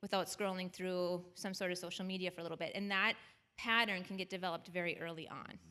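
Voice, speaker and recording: A woman with a bright, crisp voice answers parenting questions on a panel in a church. She speaks in a conversational way and keeps checking in with her listeners, tossing in a quick "right?". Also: on the low side, fairly even intonation. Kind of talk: advising at a panel discussion. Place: church auditorium.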